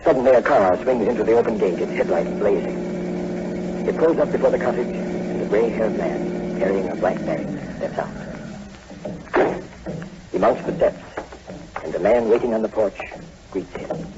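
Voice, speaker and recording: An actor playing a mysterious, solemn horror host, narrating ominously and deliberately in theatrical 1940s radio style. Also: male voice, on the low side, heavy background noise, lightly acted. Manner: theatrical, deliberate, grandiose phrasing. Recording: dull lo-fi old radio recording.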